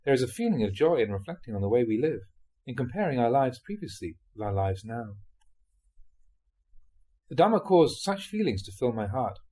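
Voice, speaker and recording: A man reading translated Buddhist talks aloud as a monk, in an expressive storytelling delivery. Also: medium pitch, moderate pace.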